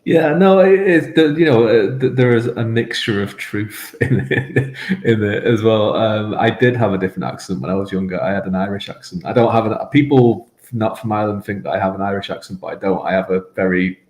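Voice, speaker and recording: A man's voice: fairly low pitch, brisk, a little mellow, roomy sound. A man from Manchester with a Mancunian accent, a guest chatting casually in a rambling, self-deprecating way and laughing often. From England, Manchester. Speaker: game show contestant, guest. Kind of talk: casual interview chat.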